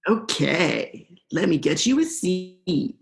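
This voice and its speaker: A woman's voice: lowish voice, sing-song, takes it slowly, gravelly, faint music behind, full character voice. A young woman in a student radio play, playing a laid-back, vengeful male eel with a casual, slangy drawl. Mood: coaxing. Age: young adult.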